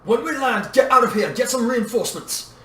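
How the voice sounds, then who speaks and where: A man's voice: a little high, expressive, quick pace, a bit bright. Man playing a commanding older warrior, echoey recording. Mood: urgent, commanding. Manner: formal, authoritative. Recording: roomy echo, distant mic, faint noise.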